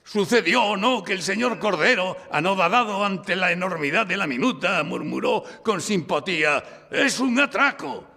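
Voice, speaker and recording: Radio drama defence attorney: Spanish from Spain, declamatory, insistent, very varied intonation, live hall reverb. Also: male voice, sits high, expressive, normal speed, vocal fry, few pauses, sharp tone, soft background music, full character voice. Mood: triumphant, oratorical. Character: theatrical male defence lawyer narrating his own courtroom case. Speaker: narrator and lead actor in an audio drama. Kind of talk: courtroom radio drama acting with first-person narration. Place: public library, before a live audience.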